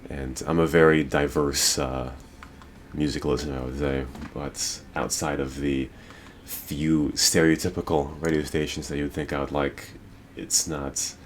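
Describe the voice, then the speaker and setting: A man's voice: very deep voice, level tone, unhurried, faint music behind. A male podcast host ranting in a rambling, profane and emphatic way. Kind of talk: casual podcast rant.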